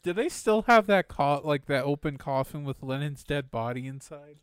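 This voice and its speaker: A man's voice: on the high side, animated, normal speed, clear, resonant tone, no room sound. A young man riffing with friends as a podcast co-host, playful and mock-dramatic. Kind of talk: casual chat, riffing with friends.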